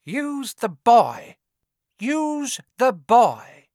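A man voicing a cruel, snake-faced dark wizard with a high, hissing, snarling voice in an audiobook. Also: big pitch swings, very slow, no echo, studio quality, heavily acted. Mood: shrill, accusing. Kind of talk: dramatic audiobook character voice.

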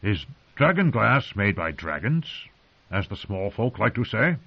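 A man's voice: fairly low pitch, very animated, measured pace, creaky, breathy edge, heavily acted. A man voicing a stern, impatient old male commander who growls and scorns. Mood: stern lecturing. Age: older. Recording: muffled lo-fi recording.

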